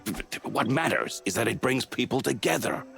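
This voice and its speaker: A man playing an earnest, community-minded village leader with a gravelly voice in an animated video game. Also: mid-range voice, moderate pace, soft background music, full character voice. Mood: proud, welcoming.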